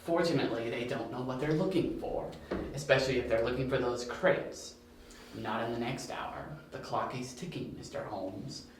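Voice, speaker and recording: A man's voice: mid-range voice, normal speed, lightly acted. A man playing a smug, taunting criminal mastermind with menacing politeness in an amateur audio drama. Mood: coolly menacing. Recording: very echoey, distant, with faint hiss.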